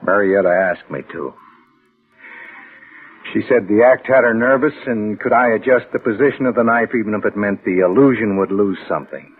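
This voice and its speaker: An actor playing a brisk, skeptical theater boss with a husky voice and colloquial delivery in a 1960s radio drama. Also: male voice, lowish voice, soft background music. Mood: offhand, patient. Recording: muffled old radio recording.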